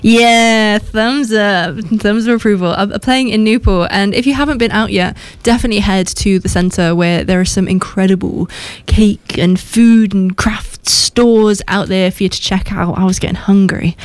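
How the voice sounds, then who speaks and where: A woman's voice: mid-range voice, normal speed, hardly any pauses, clean tone, no room sound. A woman radio host, chatting casually and teasing her guests with frequent giggles. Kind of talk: casual chat.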